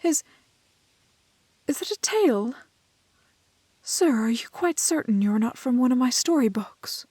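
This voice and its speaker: Young village maiden for romantic fantasy roleplay, acted by a woman: soft, highish, dry close sound, gentle and curious, quaintly courteous with hesitant pauses. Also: animated, no echo. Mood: curious, awed. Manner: old-fashioned polite phrasing, halting, breathy hesitations. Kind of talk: romantic fantasy audio roleplay spoken to the listener.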